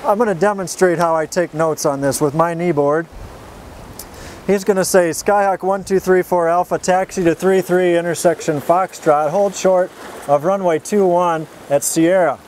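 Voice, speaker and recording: A man's voice: high voice, fairly even intonation, normal speed, a little mellow, slight background noise. A man working as a flight instructor, giving an informal, straightforward tutorial with folksy step-by-step explanations. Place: outdoors.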